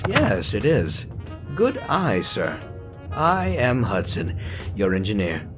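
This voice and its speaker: An actor voicing a stiff, formal elf train engineer with polite customer-service manners. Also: male voice, on the low side, huge pitch swings, slow, over music, full character voice. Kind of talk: comic dub voice acting.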